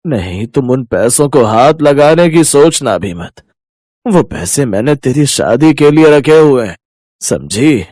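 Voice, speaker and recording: Man playing a weary, sick old father, expressive. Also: mid-range voice, a little slow, no room sound, clean studio sound. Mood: stern. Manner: weary, groaning. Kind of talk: animated moral story acting.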